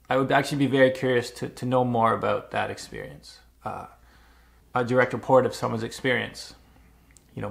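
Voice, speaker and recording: A Canadian man vlogging, speaking in a measured, thoughtful way with frequent uhs and small restarts. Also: mid-range voice, vocal fry. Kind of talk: reflective personal vlog. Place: Montreal.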